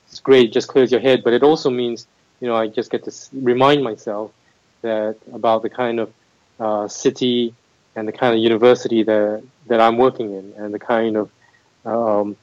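A man's voice: medium pitch, a little mellow, no room sound, muffled recording. A middle-aged man from Singapore, a guest talking thoughtfully about his career, with frequent restarts and fillers. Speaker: MBA admissions head, guest. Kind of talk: interview conversation.

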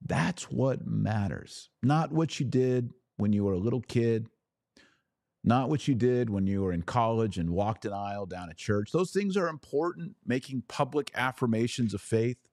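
A man hosting a podcast, giving a religious teaching monologue in an emphatic, deliberate way with dramatic pauses and rhetorical questions. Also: medium pitch, expressive, darkish tone, dry recording, studio quality. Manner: didactic, preacher-like rhetorical questions. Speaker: podcast host.

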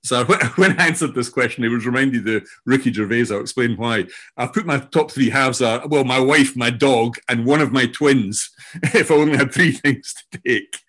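An older Scottish man introducing himself as a guest in a loose, halting way, often laughing, over a remote call. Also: medium pitch, fairly bright tone. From Scotland. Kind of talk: casual self-introduction.

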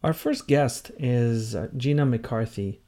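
A man hosting a podcast in a conversational way, introducing guests with a halting, hesitant delivery full of pauses. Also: mid-range voice, warm tone. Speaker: podcast host and interviewer.

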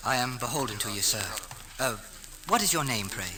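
An actor playing a haughty young English prince with formal, imperious speech in an old radio drama. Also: male voice, mid-range voice, expressive, fairly quick, crowd noise, lightly acted. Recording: noisy vintage radio recording, sizzling hiss.

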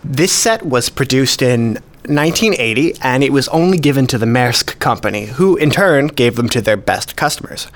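A man's voice: medium pitch, animated, moderate pace, hardly any pauses, natural voice. An actor playing a modest, precise male hobbyist collector, explaining things methodically in a radio play. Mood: matter-of-fact, modest. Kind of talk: scripted dialogue, explaining.

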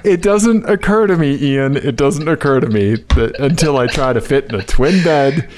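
A man's voice: fairly high pitch, moderate pace, darkish tone. A man co-hosting a podcast in loose, casual conversation. He makes fun of himself and laughs easily. He sounds a bit stuffed up from allergies. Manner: joking, self-deprecating, laughs often. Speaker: podcast co-host. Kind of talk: casual chat.

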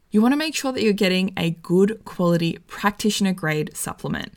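A woman talks straight to her viewers, upbeat and chatty as she runs through tips for calming stress. Her voice sits slightly low and has a light croak to it. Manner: friendly, enthusiastic, conversational. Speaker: wellness vlogger. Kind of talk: casual advice to camera.

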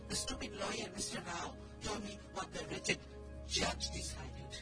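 A woman's voice: mid-range voice, normal speed, over loud music, natural voice. A woman playing a defiant, indignant Indian wife seeking divorce in a radio play reading, emphatic and bitter. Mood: bitter, upset.

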